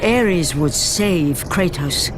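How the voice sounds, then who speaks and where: An actor playing a solemn storyteller of Greek myth, narrating a video game in a portentous, measured, theatrical way. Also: male voice, a little high, expressive, over music. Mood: solemn, ominous. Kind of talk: dramatic scripted mythic narration for a video game.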